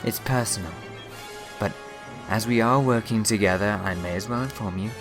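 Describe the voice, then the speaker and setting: An actor playing a sly time-travelling schemer in a sci-fi fan audio drama, teasing and mock-polite. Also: male voice, lowish voice, normal speed, lightly acted. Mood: sly, confiding. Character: sly, smug, meddling male alien time-traveller schemer. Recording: loud music behind the voice. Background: dramatic music.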